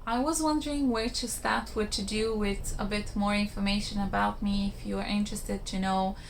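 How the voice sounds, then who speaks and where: A woman's voice: mid-range voice, steady tone, moderate pace, gravelly, music in the background. A woman with a Bulgarian accent giving plain-spoken, informal skincare-style advice in a casual explanatory vlog.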